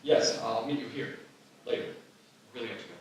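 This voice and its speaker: A man's voice: medium pitch, fairly even intonation, normal speed, natural voice. A man playing an earnest, shy, apologetic teenage boy in a live screenplay table read. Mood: flustered, apologetic. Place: live festival reading in echoey room. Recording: distant mic in echoey room, noisy.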